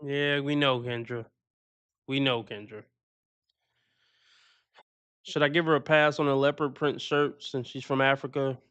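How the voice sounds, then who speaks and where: A man's voice: mid-range voice, steady tone, measured pace, pauses often, fairly bright tone, clean tone, no echo. A man hosting a livestream, reacting to a played clip with blunt, mocking commentary. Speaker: livestream host reacting to clips.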